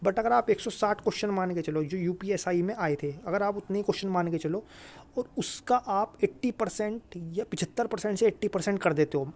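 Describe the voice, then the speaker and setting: A man's voice: high-pitched, a little mellow. Man giving informal exam advice. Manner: repetitive, emphatic assurances, frequent check-in tags. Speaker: exam guidance video host. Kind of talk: informal advice talk to students.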